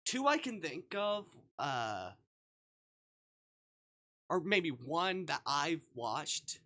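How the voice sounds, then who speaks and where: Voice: mid-range voice, very animated, very slow, pushed and bright. A live streamer chatting casually while playing visual novels, rambling and thinking aloud.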